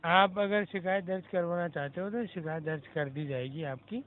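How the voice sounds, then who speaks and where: A man speaks as a helpline operator in a fairly high voice. He uses formal, polite address but keeps to procedure with some curtness. It all comes through a narrow phone line. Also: moderate pace, no room sound. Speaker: government helpline call agent. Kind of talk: formal customer service phone talk. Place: telephone call. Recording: narrow-band phone call recording.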